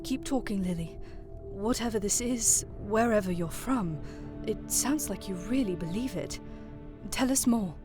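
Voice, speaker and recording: Casting: woman playing a calm, curious young diner regular for horror drama, unhurried and soothing. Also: medium pitch, over music, close to an everyday voice. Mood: intrigued. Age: young adult. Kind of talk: audio horror drama acting.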